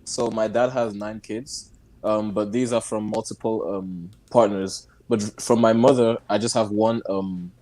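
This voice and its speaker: A man's voice: lowish voice, measured pace. A young man from Nigeria, a guest on a video-call interview, talking casually with frequent laughs and restarts. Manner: relaxed, laughs often, speaks in loose restarts. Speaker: guest activist.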